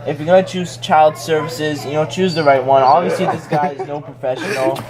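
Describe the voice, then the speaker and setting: A man's voice: fairly high pitch, steady tone, fairly quick, music in the background, low-quality recording. A young man retelling a picture book as a joke, in slangy, rambling, casual talk.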